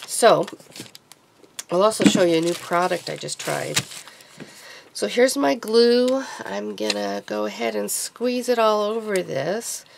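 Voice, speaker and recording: Woman with a gravelly, sick-sounding voice. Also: mid-range voice, lively intonation, measured pace, noisy background. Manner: chatty, rambling, self-correcting. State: sick with a deepened voice. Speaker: craft vlogger. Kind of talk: casual craft tutorial.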